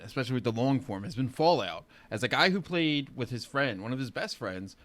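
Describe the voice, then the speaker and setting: A man's voice: mid-range voice, a bit bright. A man talking casually as a podcast panelist, giving opinions in an informal, emphatic way. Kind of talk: casual chat.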